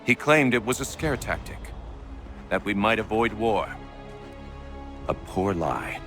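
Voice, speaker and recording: An actor playing a grave, courteous military commander in a video game, formal, measured and authoritative. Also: male voice, fairly low pitch, lively intonation. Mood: grave, wary. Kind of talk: dramatic video game acting. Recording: prominent music behind the voice.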